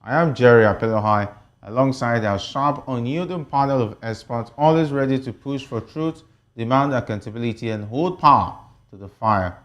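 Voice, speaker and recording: A man's voice: medium pitch, normal speed. A man with a Nigerian accent hosting a broadcast political panel discussion and reading news updates. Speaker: TV host of a political panel show.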